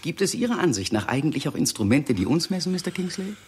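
An actor playing a diplomatic government official, polite, measured and insinuating, in a 1960s German radio drama. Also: male voice, a little high, big pitch swings, husky, heavily acted. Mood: amused, probing. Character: smooth-mannered, diplomatic British government official.

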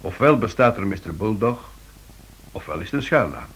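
An actor playing a shrewd, reluctant amateur sleuth in a radio play, reasoning in a wry, measured manner. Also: male voice, lowish voice, expressive, breathy edge. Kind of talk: Dutch-language radio play acting.

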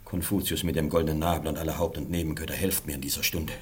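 Very deep, husky, anxious warner. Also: male voice, little pitch movement, fast. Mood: despairing, imploring. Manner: solemn, imploring, theatrical. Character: grave, anxious man warning a reckless young prince. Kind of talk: classic German radio play acting.

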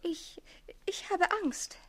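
A woman's voice: slow pace, darkish tone, in a whisper, natural voice. An actress playing an anxious, coy noblewoman posing as a maid in a theatrical radio comedy, flustered in manner. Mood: nervous coyness.

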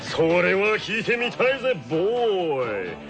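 A man's voice: sits high, big pitch swings, measured pace, creaky, exaggerated voice. A man playing a flamboyant, theatrical DJ who hosts an anime radio show with showy teasing. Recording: loud music behind the voice.

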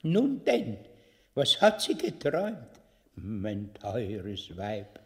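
Ein Schauspieler spielt in einem farcenhaften Hörspiel einen pompösen, sturen, leichtgläubigen König, der stammelt und kichert. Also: male voice, fairly low pitch, wildly swooping pitch, drawn-out words, a bit bright, heavily acted. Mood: amused, haughty. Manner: haughty, stammering, chuckling. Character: pompous, stubborn, foolishly trusting old king and father. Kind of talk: theatrical farce radio acting. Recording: long hall reverb.

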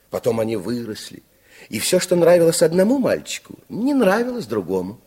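A man's voice: a little high, a little mellow, breathy edge. A man narrating a radio fairy tale with theatrical, dramatic storytelling.

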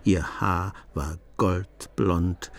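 A man narrating a radio play in a measured, storytelling manner. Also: low-pitched, fairly even intonation, creaky, background noise.

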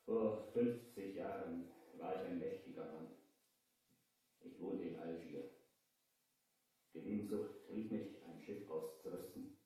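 An actor playing a cursed, dying pirate captain in a 1970s German audio play, speaking slowly, solemnly and dramatically. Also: male voice, deep voice, dark tone. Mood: grave recollection. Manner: slow, solemn, dramatic delivery. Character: cursed dying pirate captain, brutal once, now grateful. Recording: cavernous echo, distant from the mic.

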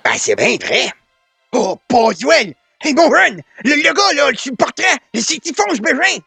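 An actor playing a folksy old grandpa in a French radio comedy, with a raspy voice and a colloquial Quebec French accent full of exclamations. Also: male voice, very high voice, big pitch swings, unhurried, bright, forceful tone, no room sound, soft background music, heavily acted. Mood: amazed, excited. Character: folksy excitable old grandpa, war veteran.

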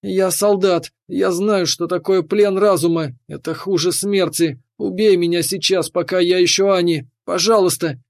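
A man's voice: fairly high pitch, fairly even intonation, takes it slowly, gravelly, few pauses, a little mellow, clean tone, no echo, studio quality. A man voicing an anguished young woman soldier in an audiobook, pleading, tearful and strained as she fights for her mind. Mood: desperate. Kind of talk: dramatic audiobook character voice.

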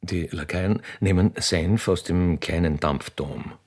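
A man with a husky voice, reading scripted stage directions as the narrator of a radio play. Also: sits low, takes it slowly, darkish tone.

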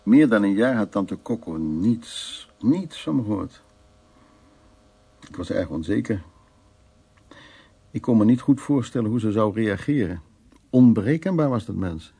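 A man's voice: lowish voice, mellow, breathy edge, heavily acted. An actor playing an evasive, hard-drinking man in a radio drama, telling his stories in a rambling, teasing way. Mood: reflective, storytelling. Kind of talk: Dutch radio drama acting.

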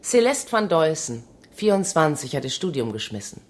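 A matter-of-fact police detective in a radio thriller. Her voice is strikingly low, with a dry, sceptical edge. Faint background noise sits behind her. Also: very animated, moderate pace. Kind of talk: modern German radio crime drama acting.

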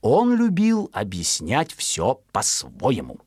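A storyteller giving a theatrical, expressive scripted narration of a children's animal tale. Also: medium pitch, slow, husky, dry recording, clean studio sound.

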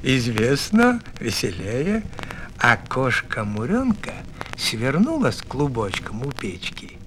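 A man's voice: mid-range voice, huge pitch swings, slow, fairly bright tone, slight background noise. A man narrating a folk tale expressively, in a folksy, theatrical storyteller's way.

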